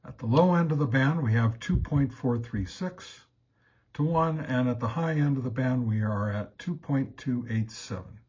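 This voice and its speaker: A man narrates a casual product test as host, in a halting, plain-spoken, step-by-step way. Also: mid-range voice, darkish tone, muffled recording. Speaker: host reviewing radio gear.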